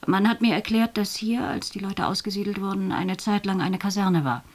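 An actress in a radio drama playing a quietly haunted witness, telling her account plainly and vividly in a husky voice. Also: mid-range voice, moderate pace, lightly acted. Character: woman recounting villages evacuated after radioactive contamination, quietly haunted. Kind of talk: testimony monologue in radio drama.

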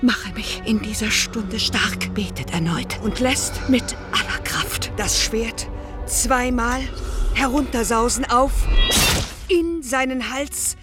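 An actress playing a solemn, resolute woman who narrates a biblical radio drama in halting, incantatory phrases. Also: a little high, music in the background. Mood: tense, resolute.

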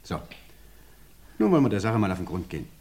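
An actor playing a calm, pipe-smoking police inspector in a 1950s radio play, dry and unhurried. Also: male voice, lowish voice. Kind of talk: 1950s radio drama acting. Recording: old mono radio play recording.

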